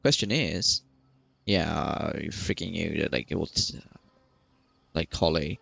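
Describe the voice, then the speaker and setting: A man's voice: a bass voice, slow, vocal fry, a bit bright, no echo. A man giving casual let's-play commentary, rambling chattily and reacting aloud. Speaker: gaming streamer. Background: faint game music.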